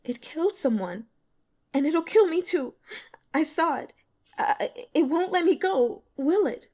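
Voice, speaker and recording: A woman's voice: sits high, lively intonation, measured pace, mellow, voice pushed up. A woman voicing a shaken, hesitant young female student in an audiobook. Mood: frightened, shaken. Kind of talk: audiobook character acting.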